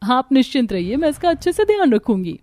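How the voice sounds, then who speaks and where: A woman's voice: high voice, sing-song, fast, a little mellow, no room sound, faint music behind. A woman playing a dutiful, wronged young daughter-in-law in a cartoon family melodrama. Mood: eager reassurance.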